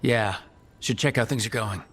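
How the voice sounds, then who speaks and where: An actor playing an earnest, direct resistance hero in a fantasy video game. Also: male voice, fairly low pitch, normal speed, a bit bright, slight background noise, natural voice. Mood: cautious.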